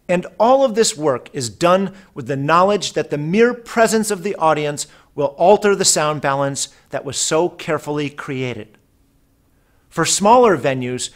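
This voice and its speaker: A man reading a scripted explanatory narration for a product video in a measured, articulate, instructional way. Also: on the high side.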